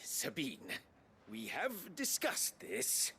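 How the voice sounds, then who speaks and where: An actor playing a haughty, imperious duke in a fantasy game, speaking in a formal, pompous, oratorical way. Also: male voice, a little high, wildly swooping pitch, extremely slow, gravelly, bright, forceful tone, slightly rough, full character voice. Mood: stern indignation. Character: haughty imperious male duke of a fallen empire. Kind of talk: scripted fantasy game acting.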